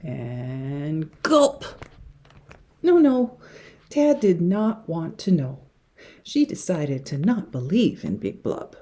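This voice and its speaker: Low-voiced woman reading a children's story expressively. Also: sing-song, slow. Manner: dramatic, with hushed passages and emphatic words. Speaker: teacher reading a picture book to young children.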